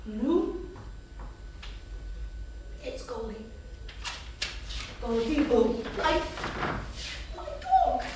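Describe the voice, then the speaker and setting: A woman's voice: high voice, wildly swooping pitch, extremely slow, long pauses, heavily acted. Woman acting a fussy, dramatic grandmother in an echoey hall. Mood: exasperated, wry. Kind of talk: staged script reading. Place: theatre, staged reading. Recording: very echoey, noisy hall from far off.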